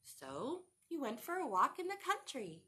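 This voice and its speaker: A woman's voice: sits high, wildly swooping pitch, a little slow, sharp tone. A woman reading a picture book aloud to young children, expressively and with questions to the listeners. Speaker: children's storytime reader.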